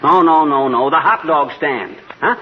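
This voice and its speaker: An actor playing a grumbling, jealous know-it-all husband, folksy and wisecracking, in 1940s radio comedy style. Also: male voice, fairly high pitch, a little slow, close to an everyday voice. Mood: chatty, excitable. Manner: folksy, wisecracking, blustery bluffing. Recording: muffled, hissy 1940s radio recording.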